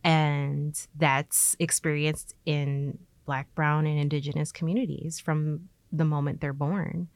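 A woman's voice: low voice, level tone, slow pace, hardly any pauses, no echo. A woman talking casually as an artist being interviewed, telling things informally with quoted asides.

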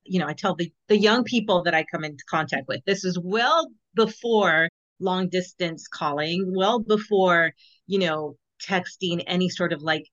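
A woman in her fifties speaks in a fairly low register, relating personal stories as a guest. Her talk is casual and conversational, dotted with small filler phrases. The audio is a little dull and lo-fi. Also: moderate pace, a bit bright. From born in El Salvador, grew up in Los Angeles. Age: 50s. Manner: conversational, peppered with "you know". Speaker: podcast guest.

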